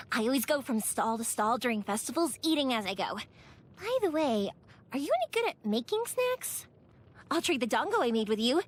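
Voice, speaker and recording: A high-pitched, very animated young woman, cheerful and playful as an anime game's festival-loving fireworks maker. Her delivery is bubbly and expressive, with an earnest, warm-hearted streak. Music plays softly behind her. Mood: chatty, playful. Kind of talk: anime-style video game character voice lines.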